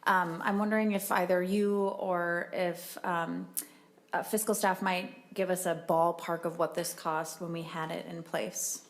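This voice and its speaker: A woman's voice: fairly low pitch, little pitch movement, a little slow. A woman of forty speaking as a state senator in a committee, arguing earnestly in a conversational way. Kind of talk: legislative committee remarks.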